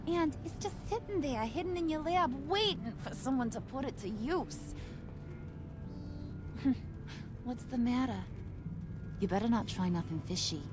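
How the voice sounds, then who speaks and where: An actress with an American accent playing a playful, menacing young villainess in a video game, teasing in sing-song slang with mock sweetness. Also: female voice, sits high, expressive, unhurried, pauses often, music in the background. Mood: bossy, menacing.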